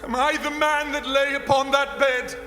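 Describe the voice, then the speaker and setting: An actor playing a frightened, repentant old miser in live radio theater, pleading in a halting, theatrical way. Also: male voice, very high voice, level tone. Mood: desperate pleading. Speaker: lead stage radio actor. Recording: reverberant hall, music behind.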